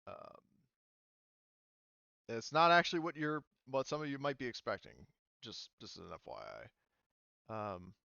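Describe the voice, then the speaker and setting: A man's voice: medium pitch, very animated, normal speed, creaky, pauses often, bright, forceful tone, clean tone, no room sound, highs cut off. A man improvising as game master in a tabletop game, thinking aloud hesitantly and swearing casually.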